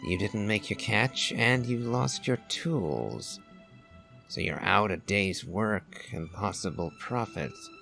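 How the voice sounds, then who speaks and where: Deep, gravelly man commenting on a game. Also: animated, slow pace, sharp tone, soft background music. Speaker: streamer playing and reacting to a game.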